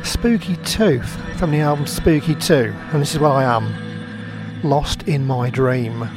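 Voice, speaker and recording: A man's voice: mid-range voice, measured pace. A man presenting a music radio show, chatting loosely and rambling between records with a self-deprecating manner.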